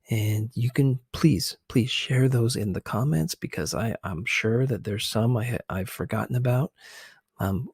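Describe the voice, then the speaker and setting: Slow-talking man, close and dry. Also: mid-range voice, creaky, a little mellow, husky, no echo. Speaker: ASMR video host. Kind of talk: casual ASMR commentary on film scenes.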